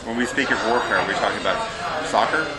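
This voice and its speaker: A man's voice: fairly low pitch, quick pace, rough recording. A man interviewing a guest in a casual chat, curious and asking follow-up questions.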